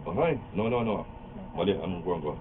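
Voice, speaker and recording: A man's voice: sits low, lively intonation, fairly quick. A man with a Filipino accent rehearsing scripted radio drama dialogue in a studio. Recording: tinny, band-limited studio footage with faint noise.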